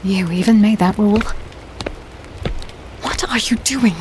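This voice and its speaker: A woman playing a stubborn, caring archer wife in a dark fantasy audio drama, blunt and expressive. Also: medium pitch, a little slow, noisy background, close to an everyday voice. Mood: defiant.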